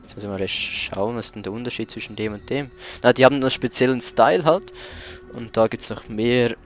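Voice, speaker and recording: A man hosting a let's play in a casual, conversational way. His voice sits at a medium pitch. He comments as he plays, pausing now and then to search for the right word. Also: faint music behind.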